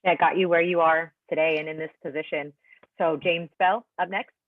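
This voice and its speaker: A woman's voice: fairly low pitch, fairly even intonation, normal speed, no room sound. A woman politely moderating a press event on a remote conference call. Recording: tinny, narrow phone-like line.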